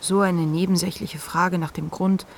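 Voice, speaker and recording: A woman playing the introspective inner voice of a manic writer in a radio drama, telling memories in a measured way. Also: lowish voice, steady tone, slight background noise, lightly acted. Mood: reflective, uneasy. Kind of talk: literary radio drama narration.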